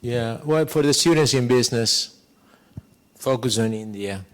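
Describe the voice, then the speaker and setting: A man with a non-native English accent moderating a panel, rambling and animated, turning to the audience with questions. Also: medium pitch, a little slow. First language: not English. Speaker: moderator, professor.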